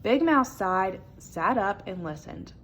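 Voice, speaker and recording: A woman reading a children's picture book aloud as an expressive storyteller. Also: medium pitch, slow pace, a little hiss or hum.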